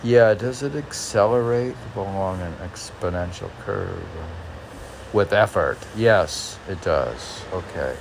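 A man reading poetry and commenting on it informally in a halting, musing, self-questioning manner. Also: low voice, animated, gravelly, noisy background. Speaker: poet reading and commenting on own poems.

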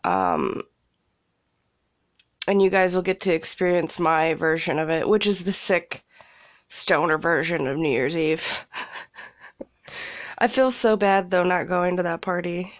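A woman's voice: lowish voice, takes it slowly, long pauses, dry recording. Young woman, congested cold, rambling solo podcast chat, casual swearing, dry closet sound. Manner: rambling, relaxed, swears freely, laughs often. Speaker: podcast host. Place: small closet, indoors.

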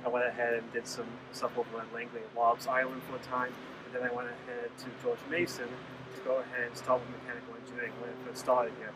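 A man's voice: mid-range voice, quick pace, recorded from a distance, noisy background. A man in his thirties, a graduating engineering student, rambles through his background with many uhs.

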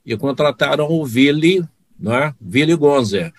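A man's voice: fairly high pitch, animated, measured pace, no echo. Sports radio guy sharing anecdotes, chatty, a bit hesitant at times, dry sound. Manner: conversational anecdote-telling with hesitant starts. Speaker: sports announcer. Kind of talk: casual chat among broadcasters.

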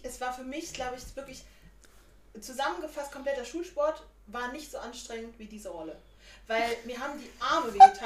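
A young woman in her twenties co-hosting a casual chat podcast, playfully teasing her friend with jokey asides. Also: on the high side. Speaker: podcast co-host. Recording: echoey room, somewhat distant mic, faint noise.

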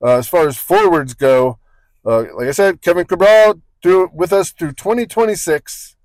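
A man's voice: sits high, moderate pace, darkish tone, no echo, poor audio. A man co-hosting a casual sports podcast, rambling through points with joking asides and frequent self-corrections. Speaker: podcast co-host.

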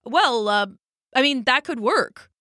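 A woman's voice: sits high, animated, takes it slowly, pushed and bright, no echo, clean studio sound, pitched above the performer's own voice, full character voice. A woman doing a sulky grumbling ox. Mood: grudging. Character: grumpy weary ox, male, big and slow.

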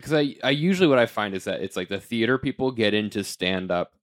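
Casting: man, late twenties, slightly low voice, easygoing interviewer, relaxed filler-heavy talk. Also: fast, no echo, clean studio sound. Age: 20s. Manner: laid-back, laughs often, filler "like". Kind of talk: casual conversation.

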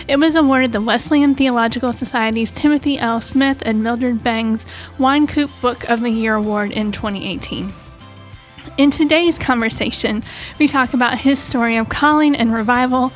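A woman with an American accent, speaking as the host of an interview podcast. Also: a little high. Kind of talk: scripted introduction and interview hosting.

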